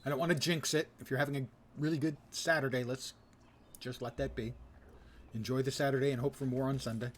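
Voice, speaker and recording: Male livestreamer, medium pitch: casual, muttered, wry play-by-play with noticeable game noise behind him. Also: fairly even intonation, brisk. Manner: chatty, wry muttering to himself and viewers. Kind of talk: casual live gameplay commentary. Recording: noisy, game sound behind. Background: video game ambience.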